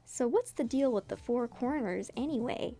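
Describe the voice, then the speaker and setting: A woman's voice: a little high, animated, normal speed, warm tone. A woman voicing gossipy, bantering school delinquents in a casual, teasing webcomic dramatic reading.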